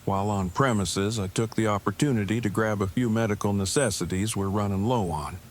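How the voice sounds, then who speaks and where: A man playing a stiffly formal, low-voiced engineer. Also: brisk, slight background noise, close to an everyday voice. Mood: stiff, measured. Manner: verbose, stilted, formal phrasing. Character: stiffly formal, earnest, awkward brainy male engineer. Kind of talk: fan audio drama dialogue.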